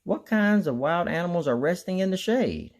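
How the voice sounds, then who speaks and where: A man narrating a children's picture book aloud in an expressive storytelling style. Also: sits high, normal speed. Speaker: storybook reader.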